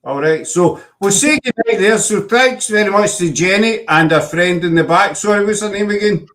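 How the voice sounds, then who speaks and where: Joking Glaswegian man, higher voice. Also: normal speed, fairly bright tone. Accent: Glaswegian Scottish. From Scotland. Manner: jokey, teasing, uses Scots words. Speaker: podcast host. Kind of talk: casual chat.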